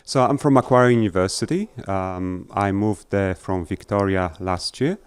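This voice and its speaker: Man, low voice, smooth and clear, dry sound, halting delivery, many fillers. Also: no room sound. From Poland. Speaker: agricultural researcher. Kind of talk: talk to an audience. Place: festival tent.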